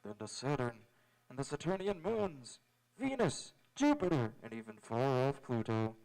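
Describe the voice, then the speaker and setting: A man acting as a young space adventurer from a vintage radio serial. His voice has a middling pitch. He speaks politely and sincerely, in measured phrases, like an earnest hero. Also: very animated, a little mellow, low-quality recording. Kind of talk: old-time radio drama acting. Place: live on an auditorium stage.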